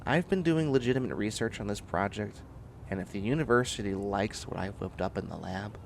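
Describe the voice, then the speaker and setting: A man's voice: fairly low pitch, lively intonation, fairly quick, vocal fry, a little hiss or hum. A man playing a tired, prickly, bookish biotech student in a sci-fi comedy audio roleplay, with a wry, fussy, rambling delivery. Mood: weary, wry. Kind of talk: scripted comedic audio roleplay, one side of a conversation.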